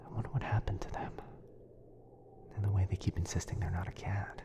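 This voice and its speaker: A man softly whispering an ASMR boyfriend roleplay as a kind, caring wizard, in a soothing, hushed manner. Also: lowish voice, fairly even intonation, a little fast. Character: kind, caring, smitten young male wizard.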